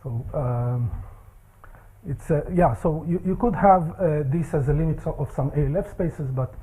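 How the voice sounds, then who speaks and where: A man giving a technical seminar lecture in a seminar room, with a soft voice, halting speech and many fillers. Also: a little high, breathy edge, poor audio.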